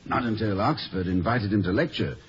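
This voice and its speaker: An actor playing a genial, fretful college president in a 1950s radio comedy, hesitant and professorial in manner. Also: male voice, on the low side, brisk, lightly acted. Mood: interested. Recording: dull, hissy vintage radio recording.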